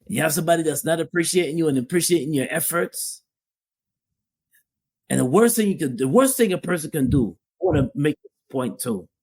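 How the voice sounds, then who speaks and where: A man's voice: a little high, creaky, slightly rough. A man co-hosting a podcast, talking casually about relationships and repeating phrases for emphasis. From Guyana (family). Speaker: podcast co-host. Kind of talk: casual opinion talk.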